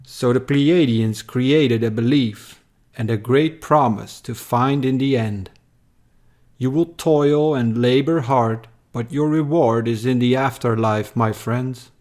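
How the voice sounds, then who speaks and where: Very slow, solemn male narrator. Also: medium pitch, a little mellow. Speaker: narrator, poem reader. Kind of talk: scripted dramatic poetry narration.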